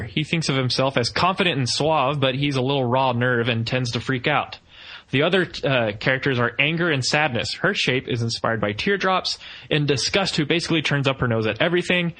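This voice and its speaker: Bright-voiced man hosting a podcast. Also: mid-range voice, rough recording. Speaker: podcast host. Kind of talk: podcast discussion and book reading aloud.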